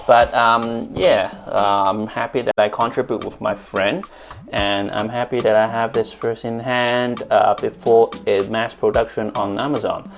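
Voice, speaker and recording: A man's voice: fairly low pitch, level tone, a little slow, creaky. Hong Kong-accented man, casual unboxing vlogger, filler-heavy chat, faint music under. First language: Cantonese. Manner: chatty, many fillers and restarts.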